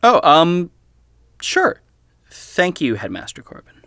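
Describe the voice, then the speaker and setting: An actor playing an awkward, apologetic young amateur investigator in an audio drama, talking in a halting, stammering way. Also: male voice, a little high, huge pitch swings, gravelly, sharp tone, dry recording, clean studio sound, exaggerated voice. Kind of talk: naturalistic audio drama acting.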